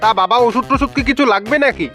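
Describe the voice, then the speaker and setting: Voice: medium pitch, quick pace, close to an everyday voice. A performer voicing a chatty, knowing middle-aged male shopkeeper in a comic cartoon, talking familiarly and handing out advice over music. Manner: familiar, talkative, advice-giving.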